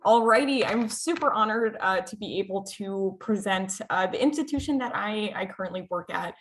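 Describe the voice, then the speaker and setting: A woman's voice: medium pitch, moderate pace. Upbeat American woman on a webinar. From United States. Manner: upbeat, with many filler "uh"s and restarts. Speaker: school representative presenting. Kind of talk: webinar presentation. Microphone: video call.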